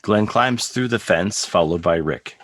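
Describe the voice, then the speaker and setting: A man's voice: sits low, lively intonation, measured pace, a bit bright. A man reading stage directions as scripted, present-tense narration for a table read. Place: remote video call table read, dry sound.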